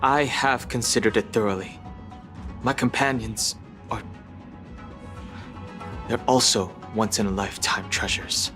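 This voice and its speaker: A man voicing a calm, reserved, analytical young video game hero with a serious, level-headed delivery. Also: fairly low pitch, unhurried, long pauses, natural voice. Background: game soundtrack music.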